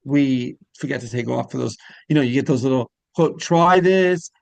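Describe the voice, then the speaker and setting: Man interviewer with gravelly creak. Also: medium pitch, dry recording. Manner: rambling, halting questions that restate themselves. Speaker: interviewer and show host. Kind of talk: casual interview chat.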